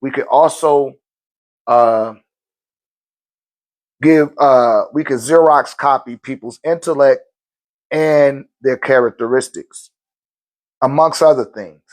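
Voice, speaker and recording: A man hosting a spiritual live stream, talking loosely in slang and answering viewer questions in a relaxed, conversational way. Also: mid-range voice, takes it slowly, long pauses.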